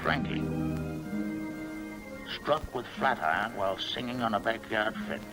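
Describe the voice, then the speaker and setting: Gravelly, deadpan heavenly cartoon gatekeeper. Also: male voice, fairly low pitch, measured pace. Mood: solemn, official. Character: stern deadpan heavenly gatekeeper admitting dead cartoon cats. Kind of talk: old theatrical cartoon voice acting. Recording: old cartoon soundtrack with music.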